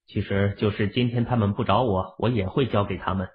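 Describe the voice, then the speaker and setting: Guy doing a low husky young husband, cocky and teasing, audiobook drama, tinny phone-like sound. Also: steady tone, fairly quick, lightly acted. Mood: matter-of-fact, smug. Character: shrewd, teasing young husband, self-assured. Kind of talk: acted audiobook dialogue. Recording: phone-like, band-limited audio.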